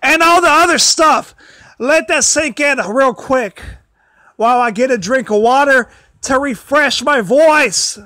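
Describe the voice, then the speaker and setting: A man hosting a casual livestream, his voice tired and strained, apologizing often, stumbling over repeated words and pushing his voice louder. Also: very high-pitched, measured pace, no room sound. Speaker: livestream host. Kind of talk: casual wrestling commentary livestream. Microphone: built-in device mic, no external microphone.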